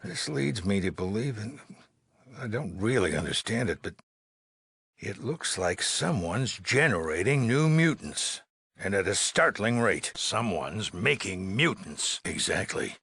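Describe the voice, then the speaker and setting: An actor playing a worried, authoritative male leader of an underground vault in a video game, with a gravelly, husky voice and a grave, deliberate manner. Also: on the low side, sing-song, a bit bright, dry recording, heavily acted. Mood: grave, troubled. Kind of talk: dramatic game dialogue.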